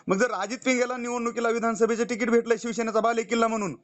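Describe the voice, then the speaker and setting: High-pitched, very fast-talking man, pleading. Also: fairly even intonation. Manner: pleading, insistent, talks over the other person. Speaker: party youth-wing activist. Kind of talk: heated phone argument. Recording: recorded phone call.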